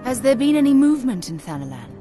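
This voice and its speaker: A woman's voice: high voice, big pitch swings, over music. An actress playing a composed, grave female scholar-investigator in a fantasy game, speaking formally and matter-of-factly in archaic phrasing. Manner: formal, measured, matter-of-fact. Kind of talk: dramatic fantasy game dialogue, archaic diction.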